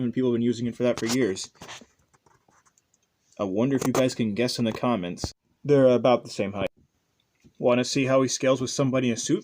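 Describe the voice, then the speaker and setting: A man's voice: medium pitch, darkish tone. A man hosting a casual, unscripted review, rambling and hesitant, often trailing off. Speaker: toy reviewer, channel host. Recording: faint background noise.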